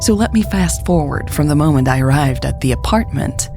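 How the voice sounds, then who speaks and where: A woman's voice: low-pitched, lively intonation, brisk, over music. A woman narrating a scripted first-person story for a podcast in an intimate, confiding way.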